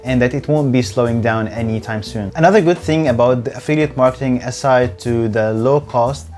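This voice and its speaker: A man's voice: mid-range voice, fairly quick, darkish tone. A man who speaks English as a later language, giving a scripted, earnest tutorial as host of his own channel, a little stiff on camera. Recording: faint background music.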